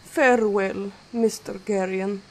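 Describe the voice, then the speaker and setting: A woman's voice: mid-range voice, steady tone, slow, a little mellow, rough recording. A woman playing a timid, devoted young maid in a radio play, deferential and hesitant. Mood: sad, grateful.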